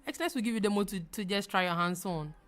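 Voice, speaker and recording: A woman's voice: medium pitch, lively intonation, quick pace, fairly bright tone. A young adult woman from Ghana with a Ghanaian accent, hosting a live trading stream and answering viewers in a chatty, emphatic way that repeats phrases and laughs easily. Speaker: livestream trading host.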